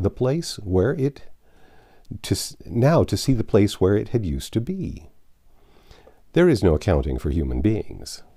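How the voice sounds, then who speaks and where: Male audiobook narrator, lowish mellow voice, expressive literary read. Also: slightly rough, dry recording, studio quality.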